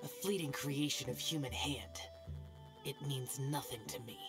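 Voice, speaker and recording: A man's voice: mid-range voice, measured pace, lightly acted. An actor playing a cold, aloof male immortal guardian who speaks tersely and dismissively. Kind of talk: video game cutscene voice acting. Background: game soundtrack music.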